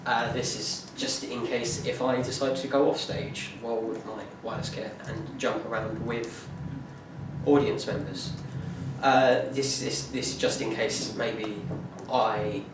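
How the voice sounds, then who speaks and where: A young man giving an informal class presentation, talking in a halting way with many fillers and restarts. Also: medium pitch, fairly even intonation. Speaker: music student presenting a performance plan. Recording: echoey room from far off, noisy.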